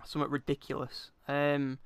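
A man's voice: medium pitch, monotone, moderate pace, clean tone. A British man co-hosting a casual comedic chat, irreverent and sweary, presenting bits he has looked up. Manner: laughs through speech, irreverent, sweary. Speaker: podcast co-host presenting researched facts.

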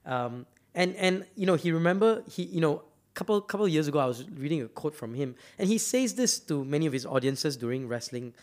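A man's voice: a little high, lively intonation, a little fast, a little mellow. A man from Malaysia giving a motivational talk, emphatic and often repeating words as he builds up to a point. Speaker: speaker.

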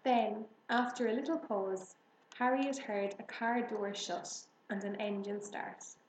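Woman reading a kids book out loud, echoey room, faint noise behind. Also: medium pitch, slow pace. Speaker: storybook reader. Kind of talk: children's book narration. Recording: roomy echo, faint background noise.

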